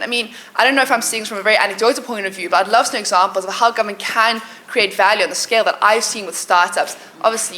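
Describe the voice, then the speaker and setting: A woman's voice: mid-range voice, pushed and bright. A young woman from South Africa in the audience, asking a panel a question with enthusiasm and self-assurance. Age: young adult. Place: lecture hall audience.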